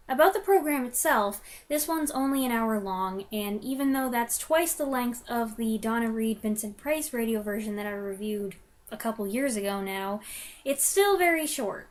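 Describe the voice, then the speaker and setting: A woman giving a conversational review, opinionated and given to wry asides. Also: mid-range voice.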